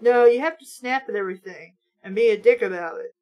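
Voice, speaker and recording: A woman's voice: fairly high pitch, brisk, muffled recording, voice pushed down, close to an everyday voice. Woman reading as a teasing, blunt schoolboy. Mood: blunt, chiding.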